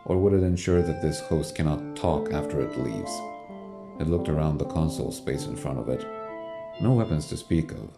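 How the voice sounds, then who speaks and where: A man's voice: a bass voice, animated, moderate pace, gravelly. A man narrating a scripted science fiction audio drama as a storyteller. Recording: music under narration. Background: dramatic score.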